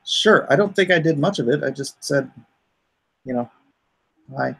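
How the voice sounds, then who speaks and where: A man's voice: mid-range voice, moderate pace, creaky, slightly rough. A man hosting a casual livestream chat, rambling and thinking aloud. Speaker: comic artist hosting a livestream.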